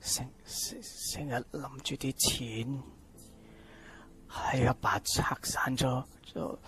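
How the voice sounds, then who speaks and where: A man acting a grasping, abusive old father in a radio drama, with a Hong Kong Cantonese accent and a halting, coarse delivery. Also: medium pitch, fairly even intonation, pushed and bright, whispered. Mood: weak, remorseful. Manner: halting, stammering, groaning, coarse. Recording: music behind the voice.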